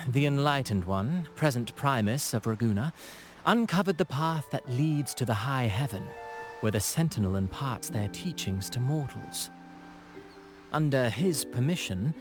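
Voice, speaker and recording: A man's voice: mid-range voice, natural voice. A man playing a courteous, eloquent playwright in a video game, speaking in ornate, formal, storytelling phrases with a humble air. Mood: reverent, knowing. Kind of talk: video game character dialogue.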